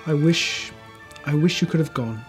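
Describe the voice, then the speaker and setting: A man's voice: on the high side, normal speed, faint music behind, lightly acted. A man voicing a mild, loving, bereaved father in a storybook reading, with a tender and wistful manner. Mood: tender grief.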